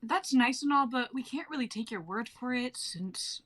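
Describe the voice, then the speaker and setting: A woman's voice: fairly high pitch, animated, normal speed, a bit bright, a little hiss or hum. A young woman playing a tough, guarded but candid adventurer in a dramatic reading. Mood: wary. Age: young adult. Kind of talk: online dramatic script reading.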